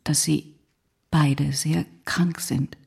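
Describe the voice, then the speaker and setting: A grave, gentle matron in a radio play. Her low voice is half-whispered and creaky, and she speaks slowly and solemnly. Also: fairly even intonation, exaggerated voice. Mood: grave, hushed. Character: solemn schoolmaster's wife gently breaking grave news to child.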